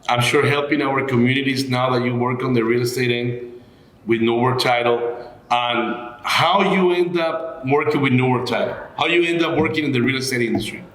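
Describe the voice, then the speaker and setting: A male host speaks in a mid-pitched voice. He phrases his questions in a halting, deliberate manner, in a conversational interview style. The room around him is noticeably echoey. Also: fairly bright tone. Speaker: show host.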